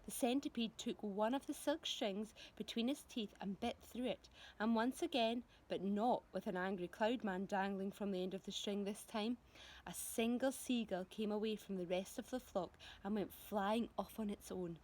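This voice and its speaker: A woman reading a storybook aloud to children as a teacher would, animated and expressive, speaking directly to them. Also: medium pitch, moderate pace, poor audio. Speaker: primary school teacher reading to pupils.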